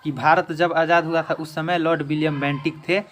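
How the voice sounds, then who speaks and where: A man's voice: fairly high pitch, little pitch movement, normal speed, a bit bright. A man teaching an exam-prep lesson in question-and-answer form, emphatically repeating each answer.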